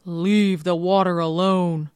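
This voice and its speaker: Woman as a slow, menacing giant monster. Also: fairly low pitch, fairly even intonation, a little mellow, clean tone, no echo. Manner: slow, drawn-out, commanding. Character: menacing giant monster guarding a magic lake.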